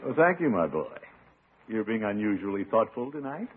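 An actor playing a genial, pompous middle-aged uncle in an old radio sitcom. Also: male voice, fairly low pitch, big pitch swings, normal speed, husky. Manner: pompous, chuckling, avuncular. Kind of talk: 1950s radio sitcom acting. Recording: muffled old radio recording, faint audience, hiss.

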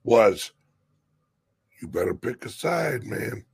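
Slow raspy man with gravelly voice. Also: fairly low pitch, very animated. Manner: emphatic, rambling with restarts. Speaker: crypto show host. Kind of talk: casual political chat.

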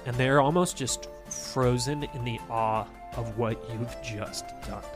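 A man's voice: medium pitch, measured pace, music in the background. Laughing young man running a game. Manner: laughing, animated storytelling. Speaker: game master. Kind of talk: narrating a tabletop game.

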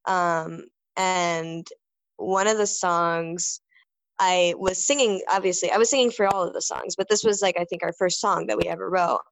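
Low-voiced young woman musician, dry sound. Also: steady tone, normal speed, creaky, dry recording, low-quality recording. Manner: rambling, many fillers and restarts. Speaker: band vocalist. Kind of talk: casual interview answer.

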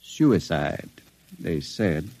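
An actor playing an intelligent, sensitive long-term sanitarium patient in an old radio drama, speaking in a measured, cautious, educated way. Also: male voice, deep voice, very animated, darkish tone, husky, exaggerated voice. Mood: quietly confiding.

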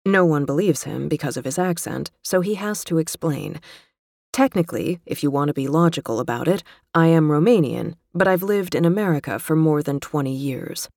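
A woman with a low, mellow voice reads a magazine feature aloud. Her delivery is measured and literary, and the recording is close and dry. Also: expressive, gravelly, no echo. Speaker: narrator reading a magazine feature. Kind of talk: scripted narration.

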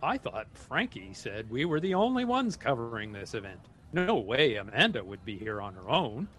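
A man playing a big, amiable radio reporter in a scripted comedy drama, with broad, expressive delivery. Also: medium pitch, normal speed, slightly rough. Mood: puzzled. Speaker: radio co-host.

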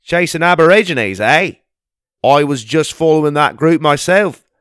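A man voicing a rugged outback bushman with a put-on Australian accent, hearty and loud. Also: on the high side, lively intonation, moderate pace, clean tone, no echo, studio quality, pitched above the performer's own voice.

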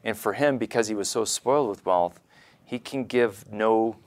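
A man with a fairly low voice explains religious ideas in a conversational podcast style. He sounds enthusiastic, and his phrasing is halting, with words often repeated as he builds a point. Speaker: podcast co-host, religious scholar.

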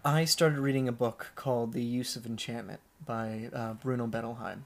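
Regular guy chatting on a podcast, lots of uhs and likes, laid back, mid pitch. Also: normal speed, gravelly. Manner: relaxed, hesitant with many fillers. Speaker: podcast guest, storyteller. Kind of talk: casual conversation.

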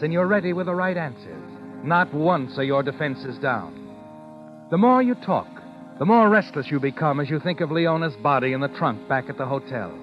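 A man's voice: on the high side, dull audio, close to an everyday voice. A man playing an ominous, insinuating narrator of old radio suspense, addressing the guilty listener directly with pointed questions. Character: ominous male narrator needling the guilty woman. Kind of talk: second-person 1940s radio suspense narration. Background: dramatic music.

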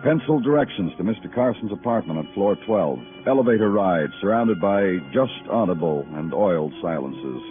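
An actor playing a brooding police detective, narrating a 1950s radio noir drama in solemn, clipped poetic phrases. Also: male voice, low-pitched, lively intonation, moderate pace, hardly any pauses. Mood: somber, brooding. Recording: muffled vintage radio recording with music.

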